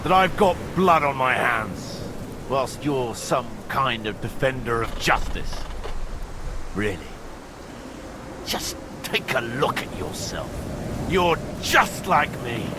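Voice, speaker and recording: A man's voice: mid-range voice, lively intonation, takes it slowly, noisy background. An actor playing a bitter, vengeful male villain in a video game, with theatrical, sneering menace. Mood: sneering, taunting. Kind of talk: dramatic video game acting.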